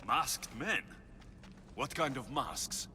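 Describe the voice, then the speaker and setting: An actor playing a wry, confident, battle-hardened mercenary in a video game, with dry sardonic delivery. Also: male voice, mid-range voice, expressive, slow, pushed and bright, a little hiss or hum, heavily acted. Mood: irritated, demanding. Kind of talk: video game character acting.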